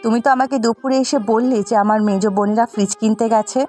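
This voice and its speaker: Woman acting a high-voiced, nagging village wife. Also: steady tone, fast, a little mellow, faint music behind, close to an everyday voice. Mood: aggrieved. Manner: insistent, scolding. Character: demanding, jealous village wife nagging her poor husband. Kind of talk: Bengali folk-tale cartoon dialogue.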